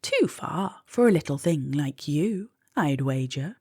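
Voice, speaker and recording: A woman's voice: deep voice, expressive, a little mellow, breathy edge, dry recording, studio quality, voice pushed down, full character voice. A woman voicing a large storybook spider who pities and discourages, sighing and stretching out warnings. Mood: pitying. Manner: sighing, drawn-out emphatic warnings.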